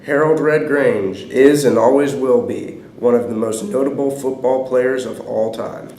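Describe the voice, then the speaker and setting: A man's voice: mid-range voice, a little slow, warm tone. A teenage male reading scripted sports news as a student presenter, recorded in an echoey room with noise. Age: teens.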